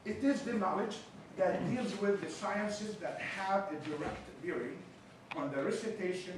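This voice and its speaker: A man's voice: high voice, measured pace. A man from Palestine with a heavy Arabic accent, a university chaplain giving a seminar lecture with jokey, teasing asides. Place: lecture room. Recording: echoey room, distant mic, background noise.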